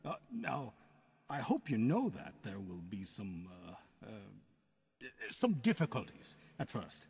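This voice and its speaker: A man playing a kindly, fatherly police commissioner in a radio drama, halting and formal as he encourages. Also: on the low side, huge pitch swings, creaky, a little mellow, big-room sound, heavily acted. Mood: kindly, encouraging. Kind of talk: old-time radio style dramatic acting.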